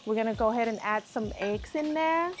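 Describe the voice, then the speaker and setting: A woman's voice: a little high, fairly quick. A woman from Thailand with a Thai accent, a guest chef walking through a recipe step by step as she cooks. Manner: explains step by step while cooking, light laughs. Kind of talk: cooking demonstration. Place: restaurant bar kitchen.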